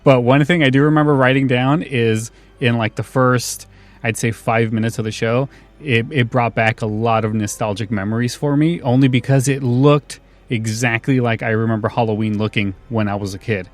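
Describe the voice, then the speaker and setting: A man's voice: medium pitch, steady tone, moderate pace. A man hosting a podcast in a casual, chatty style. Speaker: podcast host.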